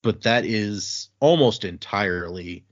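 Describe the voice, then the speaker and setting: A man's voice: on the low side, measured pace, lo-fi sound. A man hosting a podcast, chatting casually and reading listener emails with loose fillers and restarts. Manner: relaxed, rambling with fillers and restarts.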